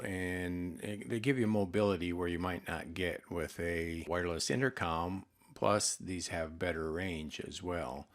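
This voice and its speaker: A man giving an unscripted product review voice-over, explaining things in a halting way with frequent hesitations. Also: deep voice. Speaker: product reviewer and intercom seller.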